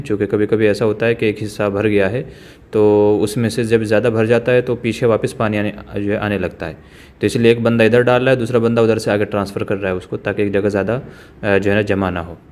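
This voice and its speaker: A man's voice: on the low side, a little mellow. A man filming outdoors by a pond on a phone, explaining the scene in chatty speech with many fillers. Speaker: vlogger. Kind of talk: casual commentary behind the camera.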